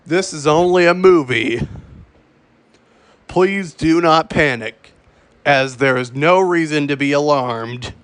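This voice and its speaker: A man reading a scripted mock emergency broadcast as an official announcer, halting and repeating phrases as he goes. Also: on the high side, a bit bright, smooth, no echo.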